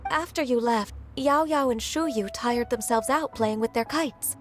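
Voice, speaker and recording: A woman voicing a gentle, patient young woman with a soft, reassuring way of talking in a video game. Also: a little high, normal speed, faint music behind, natural voice. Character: gentle young woman adeptus-raised secretary, kind and patient.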